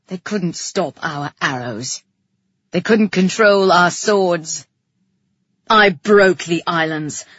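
A man's voice: a bit bright, slightly rough, no room sound, lo-fi sound, exaggerated voice. A woman voicing a fierce, embittered male ruler in an audiobook, with a growling, curt and menacing delivery. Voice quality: low growling, snarled.

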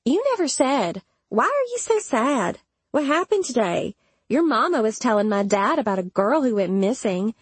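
A woman's voice: a little high, big pitch swings, moderate pace, slightly rough, dry recording, voice pushed up. A woman voicing a bratty, stubborn girl in an audiobook, snappish and demanding. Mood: prying. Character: bratty, stubborn, prying girl with a fierce temper. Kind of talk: dramatised audiobook dialogue.

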